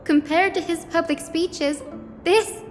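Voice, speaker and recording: High-voiced earnest young scholar, reverberant. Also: female voice, steady tone, slow pace. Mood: intent, pondering. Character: earnest young female scholar deducing a dark secret. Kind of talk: dramatic video game voice acting. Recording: long hall reverb, music behind. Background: music.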